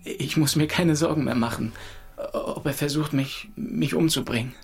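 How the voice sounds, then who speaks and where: A man as a shaken, creaky-voiced young man. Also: a little high, normal speed, voice pushed up. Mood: shaken, bitter relief. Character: orphaned, bitter, shaken 22-year-old young man. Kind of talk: audiobook drama dialogue.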